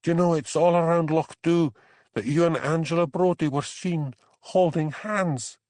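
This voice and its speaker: A man voicing a gossipy Scottish village man who tells tales in an animated way, in an audiobook. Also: a little high, normal speed, no room sound, pitched above the performer's own voice, natural voice. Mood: nosy, eager. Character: Archie, gossipy village man, fond of a dram. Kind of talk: audiobook character dialogue.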